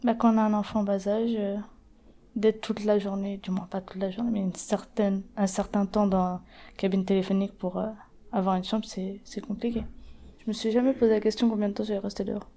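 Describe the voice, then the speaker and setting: A woman's voice: medium pitch, little pitch movement, a little fast, mellow. A woman in her twenties from the Paris region, answering interview questions in a plain, hesitant way with many fillers. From Paris region, France. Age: 20s. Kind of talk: documentary interview testimony.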